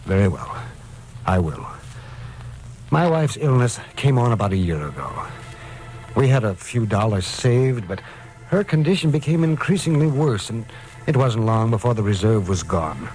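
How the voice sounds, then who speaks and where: An actor playing a devoted, naive, anxious husband in a vintage radio drama, with halting, emotional delivery. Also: male voice, fairly low pitch, sing-song, darkish tone, soft background music. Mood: weary, troubled. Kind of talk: 1940s-50s radio detective drama acting. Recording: noisy vintage radio recording.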